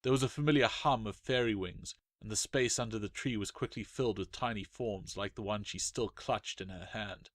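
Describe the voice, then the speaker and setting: A man's voice: on the low side, normal speed, hardly any pauses, no echo, clean studio sound. A man reading his own short story aloud as a dramatic, scripted narration.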